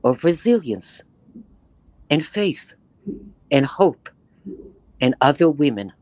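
A woman's voice: low-pitched, takes it slowly, no room sound. Lady in her 80s w a bronx accent lecturing a book group on a video call, emphatic, repeats herself, dry close sound. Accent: Bronx New York. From Israel. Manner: animated, emphatic, repeats phrases for effect. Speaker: guest lecturer. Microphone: webcam on video call, close to the face.

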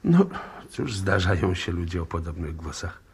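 An actor playing a brisk, persistent police inspector who questions insistently, in 1960s radio play style. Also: male voice, low-pitched, very animated, darkish tone, slight background noise. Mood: puzzled.

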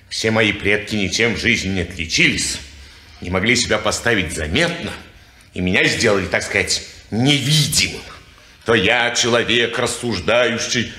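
A man's voice: on the low side, moderate pace, bright, forceful tone, reverberant. An actor playing a gloomy, scornful young man who declaims his reasoning in a 1950s radio drama. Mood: declaiming.

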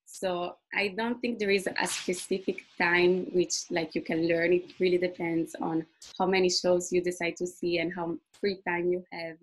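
A woman's voice: lowish voice, level tone, moderate pace, low-quality recording. A woman whose first language is Italian, the community manager answering questions on a video call, laughing often between answers. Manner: laughs often, fluent non-native phrasing. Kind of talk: webinar Q&A answers.

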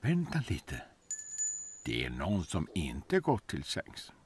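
A man in his sixties narrating a children's bedtime story in a soft, lulling, sing-song way, over gentle music. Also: a bass voice, huge pitch swings, slight background noise. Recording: studio narration with music behind.

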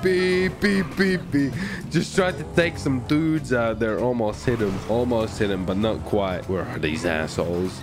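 A man giving excited gameplay commentary, taunting playfully, exclaiming and swearing. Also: fairly low pitch. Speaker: gaming YouTuber playing online roleplay. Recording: music behind the voice. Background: music and game vehicle sounds.

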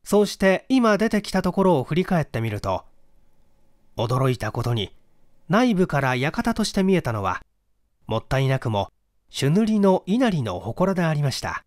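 A man reading a classic detective story aloud as dramatic, measured, expressive scripted narration. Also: on the high side, dry recording, studio quality. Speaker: audiobook reader, stage actor.

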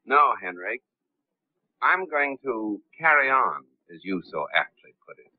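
An actor playing a suave, self-assured wealthy gentleman detective in a 1930s film, breezy and confident. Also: mid-range voice, very animated, a little slow, no room sound. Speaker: wealthy gentleman hero, Lamont Cranston. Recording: tinny old 1930s film soundtrack.

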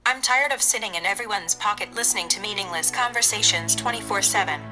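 A woman playing a scheming AI phone assistant with a flat, measured, formal delivery in a comedy radio drama. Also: medium pitch, over loud music, natural voice, poor audio. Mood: coldly triumphant.

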